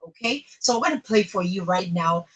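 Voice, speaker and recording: A woman's voice: mid-range voice, lively intonation, a little fast, slightly rough. A woman with a Nigerian accent hosting a radio show, chatting informally and playfully with emphatic phrasing. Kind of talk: chatty live radio presenting.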